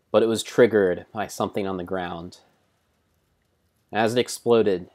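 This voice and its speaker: A man narrating video game play as a solemn, theatrical storybook tale, in measured phrases. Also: sits low, a little mellow. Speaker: streamer narrating own gameplay.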